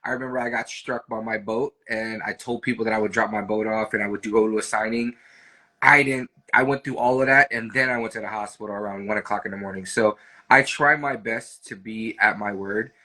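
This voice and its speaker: A man's voice: fairly low pitch, steady tone, brisk, pushed and bright, low-quality recording. A man with an American accent co-hosting a casual live stream chat, talking in a rambling, conversational way with frequent check-in tags. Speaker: real estate team leader, co-host.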